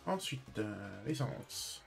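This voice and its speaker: A man's voice: lowish voice, very animated, extremely slow, creaky, soft background music. A man casually commenting on his roleplay game as he plays, often muttering to himself. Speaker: streamer playing a roleplay game. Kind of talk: casual gameplay commentary and in-character chat.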